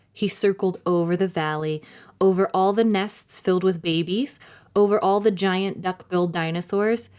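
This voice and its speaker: A woman narrating a children's story in a dramatic, clearly phrased, expressive reading style. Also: lowish voice.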